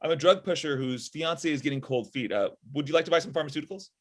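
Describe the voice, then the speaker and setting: A man's voice: on the high side, quick pace, close to an everyday voice. A man in his thirties playing a sardonic, frustrated robot inventor in a comedy table read over a video call from home. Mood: sarcastic. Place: small room at home.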